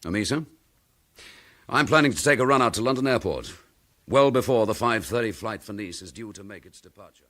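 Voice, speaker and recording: An actor playing a calm, firm police chief inspector in a classic radio drama, polite but commanding. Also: male voice, fairly low pitch, brisk, natural voice. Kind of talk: 1950s-style radio drama acting.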